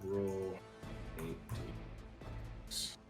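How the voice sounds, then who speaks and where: A man's voice: deep voice, completely flat delivery, extremely slow. A man playing a tabletop roleplaying game, chatting casually and thinking through his moves aloud. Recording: roomy echo, faint music behind. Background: faint background music.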